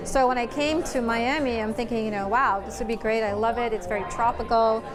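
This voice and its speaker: A woman's voice: a little high, fairly even intonation, a little fast. A woman artist from Trinidad who answers interview questions in an effusive, personal way. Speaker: visiting artist. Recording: music bed underneath.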